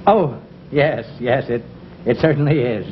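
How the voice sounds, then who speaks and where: A middle-aged actor playing a politely uninterested cruise passenger in a 1950s sitcom, curt and halting. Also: male voice, mid-range voice, natural voice. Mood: politely bored. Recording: very noisy, muffled 1950s television soundtrack.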